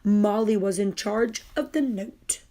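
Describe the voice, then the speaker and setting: A woman reading a children's story aloud in a deliberate, expressive way. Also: mid-range voice. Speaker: storybook reader.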